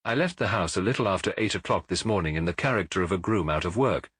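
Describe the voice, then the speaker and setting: A man's voice: deep voice, lively intonation, quick pace, fairly bright tone, husky, no room sound, heavily acted. A man voicing a clever, nonchalant Victorian detective in a storybook, urbane, precise and breezy. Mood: brisk, confiding. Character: brilliant, nonchalant Victorian male detective, brisk and amused.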